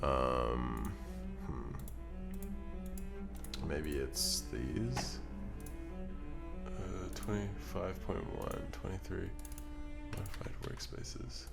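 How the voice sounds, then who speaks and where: A man's voice: extremely low pitch, drawn-out words, gravelly, pauses often, loud music behind the voice. A young man from Scotland chatting casually on a live stream, muttering to himself as he works at his computer. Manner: relaxed, muttering to himself while working, reading chat aloud. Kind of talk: casual live-stream chat while editing. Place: home streaming room. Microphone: desk microphone.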